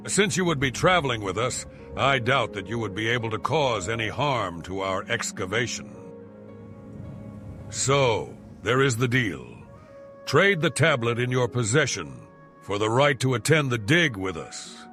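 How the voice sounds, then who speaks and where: A man's voice: medium pitch, bright, forceful tone. A man playing a pompous, irritable and shrewd archaeologist in a video game, speaking in a haughty, wordy, formal way. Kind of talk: video game character dialogue.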